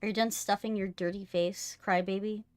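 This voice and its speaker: A woman's voice: medium pitch, fairly even intonation, moderate pace, darkish tone, clean tone. Playful woman chatting on a gaming stream. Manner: playful, teasing. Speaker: live streamer. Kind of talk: casual chat on a gaming live stream. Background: music.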